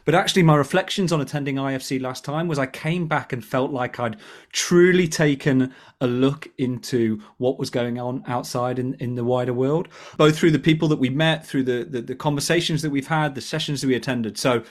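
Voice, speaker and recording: A man's voice: medium pitch, a little fast. A man from the United Kingdom co-hosting a podcast, talking enthusiastically and reflectively with frequent restarts. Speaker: podcast co-host. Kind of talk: casual podcast chat.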